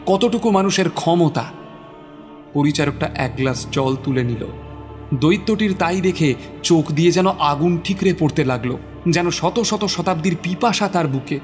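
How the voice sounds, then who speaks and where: A man's voice: on the high side, moderate pace. A man narrating a horror audio story from a script in a dramatic, suspenseful, theatrical manner. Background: music.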